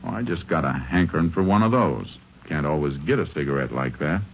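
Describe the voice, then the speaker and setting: An actor playing a steady, firm Texas Ranger in a radio western, terse and plain-spoken. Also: male voice, extremely low pitch, brisk. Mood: dry, probing. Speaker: lead of the show, also narrating. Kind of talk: 1950s radio western acting and narration. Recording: muffled old radio recording, faint hiss.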